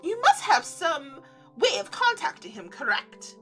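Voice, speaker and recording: A woman's voice: high-pitched, very animated, a little slow, fairly bright tone, faint music behind, exaggerated voice. A woman voicing a haughty, annoyed male winter spirit from a visual novel, stiff and formal, with a British accent. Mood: stern, demanding. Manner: stiff, imperious, questioning.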